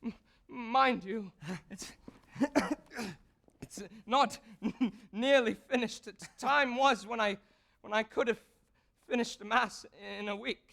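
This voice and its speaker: A man's voice: extremely high pitch, slow pace, gravelly, fairly bright tone, exaggerated voice. A teenage male stage actor playing a frightened, dying young composer who stammers, halts and breaks into nervous laughter. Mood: nervous, broken. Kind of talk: theatrical stage acting. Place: theatre stage.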